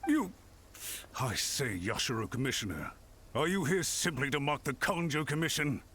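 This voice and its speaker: A haughty official, swooping in pitch. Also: male voice, animated, moderate pace, bright, forceful tone, breathy edge, exaggerated voice. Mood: affronted. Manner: formal, pompous, emphatic. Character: haughty, scheming, defensive clan official, easily indignant. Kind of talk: anime-style video game voice acting.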